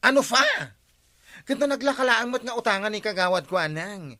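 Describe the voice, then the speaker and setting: A man with an Ilocano Filipino accent playing a flattering, excitable village captain who is short of money, in broad radio comedy style. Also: sits high, a little fast, no room sound, studio quality. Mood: excitable, curious. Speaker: radio drama actor.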